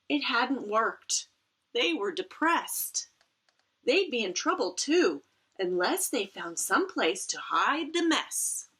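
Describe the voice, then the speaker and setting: Woman, children's storybook read-aloud, expressive, bright voice, unhurried pace, dramatic emphasis. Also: fairly high pitch, breathy edge. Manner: animated, dramatic emphasis with playful asides. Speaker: teacher reading a picture book aloud.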